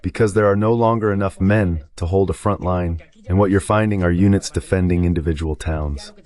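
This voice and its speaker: Low-pitched synthetic man explaining a map. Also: fairly even intonation, a little fast, a little mellow. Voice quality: synthetic dubbed AI voice. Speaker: guest commentator. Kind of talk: live map-based war commentary.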